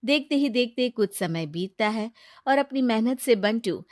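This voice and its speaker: A woman's voice: on the high side, lively intonation, unhurried, dry recording, studio quality. A woman narrating a scripted moral story for a cartoon.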